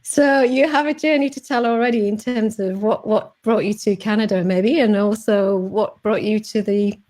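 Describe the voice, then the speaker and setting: A woman's voice: medium pitch, fairly even intonation, normal speed, a little mellow. Chatty Sheffield-accented woman hosting a live chat. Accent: Sheffield English. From England. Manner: chatty, with many fillers and restarts. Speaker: host. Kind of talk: Facebook Live interview.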